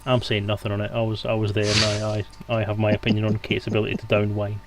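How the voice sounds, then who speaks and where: A man's voice: lowish voice, completely flat delivery, fast, heavy background noise. A man hosting a casual livestream interview in a dry, understated manner. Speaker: stream host.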